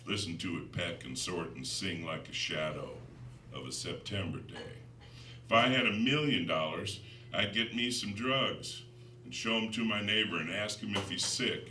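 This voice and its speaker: An American man, a poet in middle age, reading his own work to listeners in a measured, deliberate, dramatic way. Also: low voice, sharp tone. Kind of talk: live poetry reading with spoken introductions. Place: live reading in a room before an audience. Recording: roomy, distant mic, faint noise.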